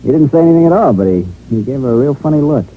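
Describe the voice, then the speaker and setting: An actor playing a brash, breezy, money-minded small-town promoter in an old radio drama. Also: male voice, mid-range voice, lively intonation, racing, a little hiss or hum, close to an everyday voice. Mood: breezy, confiding. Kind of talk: 1950s-60s radio drama acting. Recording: muffled old-time radio recording.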